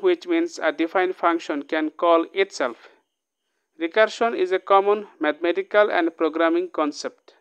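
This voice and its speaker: A man's voice: fairly high pitch, fairly bright tone, clear, resonant tone. A man teaching a programming lesson, reading code aloud line by line and often checking in with "okay?". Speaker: programming tutor. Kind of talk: casual screen-recorded coding lesson.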